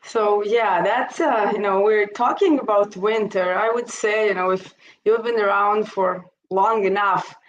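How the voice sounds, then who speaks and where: A middle-aged woman with an Israeli accent, talking on a video call. She speaks in a relaxed conversational way at a middle pitch and fills her sentences with "you know". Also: unhurried, muffled recording. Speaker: interview guest, investor. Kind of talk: casual webinar conversation.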